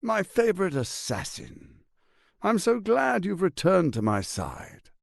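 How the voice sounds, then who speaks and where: Scheming tyrant lord, silky, condescending, theatrical video game delivery, highly animated intonation, dry studio sound. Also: male voice, unhurried, gravelly, no room sound, full character voice. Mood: grandly smug. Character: suave, ambitious, scheming male tyrant lord. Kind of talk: dramatic video game voice acting.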